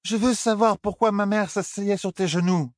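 A man's voice: fairly even intonation, normal speed, dry recording, studio quality, lightly acted. A man voicing a tipsy young royal heiress who slurs, sulks and flares up. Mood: drunk, petulant. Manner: high, slurred pleading and indignant outbursts. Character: drunk young royal heiress, petulant and tearful.

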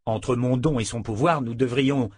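An earnest young man, protective and anxious, as a narrator plays him, in a fantasy audiobook. The voice is somewhat husky and very dry, set a little above mid pitch. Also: normal speed, a little mellow, no room sound, close to an everyday voice. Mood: questioning.

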